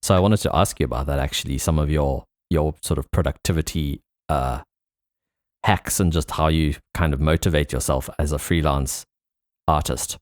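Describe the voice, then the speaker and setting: A man's voice: rumbling low, moderate pace, no room sound, clean studio sound. A man hosting a podcast in a casual, conversational interview style. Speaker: podcast host.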